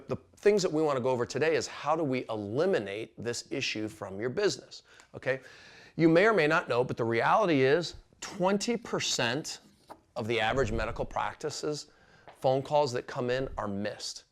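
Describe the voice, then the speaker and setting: A man with a mid-pitched voice presents an instructional business explainer. He speaks emphatically, leaning on key words, and regularly tags his points with short questions to check that listeners follow. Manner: emphatic, with frequent "okay?" checks.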